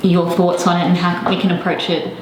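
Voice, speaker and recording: A woman's voice: lowish voice, little pitch movement, fairly quick. A woman hosting a podcast interview who asks questions and encourages her guest with short agreeing sounds. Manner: encouraging, many short backchannels. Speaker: podcast host. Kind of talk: casual interview. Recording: roomy with audible background noise.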